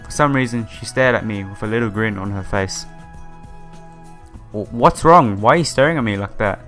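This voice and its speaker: A man's voice: on the low side, normal speed. A man hosting a casual let's-play, reading game text aloud and adding informal asides. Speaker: gaming video host. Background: soft game music.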